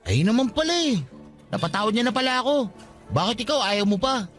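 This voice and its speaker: Eager young suitor, high, animated. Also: male voice, fairly quick, soft background music. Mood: apologetic. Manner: pleading, coaxing. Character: short, earnest, eager-to-please young Filipino suitor. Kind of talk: radio drama acting.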